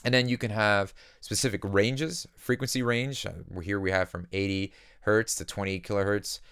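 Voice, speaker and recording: A man giving a conversational software tutorial as a music production instructor, explaining things simply on a close microphone. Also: on the low side, fairly even intonation, normal speed, clean tone, no echo.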